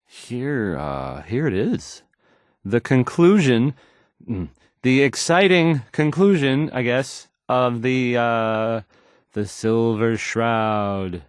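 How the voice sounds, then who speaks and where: A man's voice: medium pitch, expressive, slow pace, clear, resonant tone, dry recording, studio quality. An actor playing a timid, awkward young male radio DJ in a video game, stammering and unsure of himself. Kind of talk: video game character acting.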